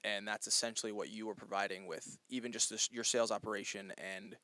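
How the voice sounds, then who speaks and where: A man's voice: lowish voice, fairly even intonation, fast talker, bright, forceful tone, no echo. A man from New Jersey hosting a casual interview podcast, talking loosely with plenty of "like" fillers. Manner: chatty, lots of "like" fillers. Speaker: podcast host.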